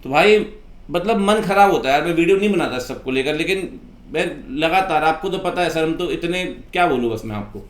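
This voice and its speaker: Guy teacher ranting at the camera, kinda high voice, fed up and reproachful, roomy echo. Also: lively intonation, fairly quick. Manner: exasperated, reproachful, with rhetorical questions. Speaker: accountancy teacher and video host. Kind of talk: impassioned informal rant to camera. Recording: echoey room.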